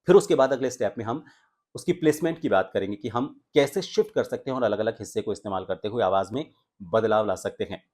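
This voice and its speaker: एक आदमी जो आवाज़ सुधारने का पाठ पढ़ाता है, हमिंग की आवाज़ें करके दिखाता है और हिंदी लहजे में बोलता है।. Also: male voice, lowish voice, fast, a little mellow. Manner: explanatory, demonstrates humming sounds. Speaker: voice coach and anchor. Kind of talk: voice training lesson.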